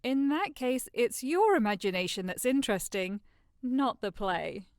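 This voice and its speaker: A woman's voice: fairly high pitch, lively intonation, measured pace, no room sound, studio quality. A woman playing a mocking noble bride, sardonic and dismissive, in a comic audio drama. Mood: bored, dismissive. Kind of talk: modernized Shakespeare audio drama comedy.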